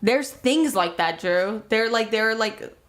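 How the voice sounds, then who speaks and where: A woman with an American accent bantering casually as a podcast co-host, blunt, teasing and quick to swear. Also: fairly high pitch, animated, crowd noise.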